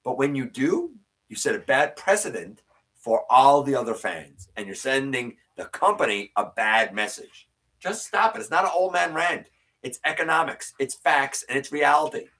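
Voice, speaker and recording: A man hosting a live stream, reading viewer comments and answering them in casual, opinionated chat, his voice sometimes a little raspy. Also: lively intonation, normal speed, pushed and bright, far from the mic, poor audio. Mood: ranting. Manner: opinionated, ranting with rising pitch when worked up.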